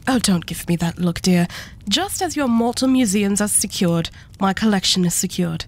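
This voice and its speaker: Female arch devil for an ASMR roleplay, acted by a woman: mid-pitched, imperious treasure hoarder, condescending, unhurried, courteously threatening. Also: animated, lightly acted. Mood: haughty, amused. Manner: condescending, unhurried, politely menacing. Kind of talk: scripted ASMR roleplay acting.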